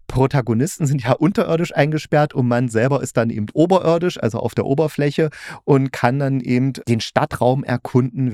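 A man's voice: mid-range voice, a little mellow, no echo, clean studio sound. A man talking casually and in a rambling, colloquial way as a podcast host giving a review. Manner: colloquial, rambling, hedged opinions. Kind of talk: casual solo review talk.

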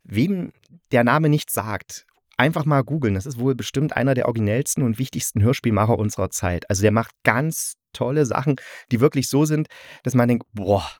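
A man's voice: mid-range voice, brisk, no room sound, clean studio sound. A man hosting a podcast, giving a casual, chatty and enthusiastic review in colloquial language. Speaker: podcast host and radio play reviewer.

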